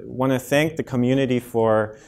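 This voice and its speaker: A German-accented man in his thirties gives a friendly talk on heart rhythm to a community audience. He explains things plainly, often pausing with ums and restarting sentences. He speaks into a microphone in a lecture room. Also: mid-range voice, a little mellow. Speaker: cardiologist giving a public health talk. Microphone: handheld and podium microphone.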